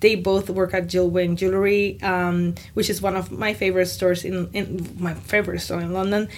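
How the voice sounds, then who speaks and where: A woman's voice: on the low side, little pitch movement, normal speed, slight background noise. A woman hosting a podcast interview who gushes and exclaims often. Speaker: podcast host. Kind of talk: scripted intro, then casual interview chat.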